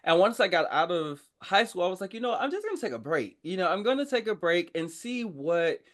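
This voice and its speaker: A man speaking as a podcast guest, telling his own story in a casual, informal, animated way and often interrupting himself. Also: high-pitched, fairly quick. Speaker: podcast guest, army veteran. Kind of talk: casual conversational personal storytelling.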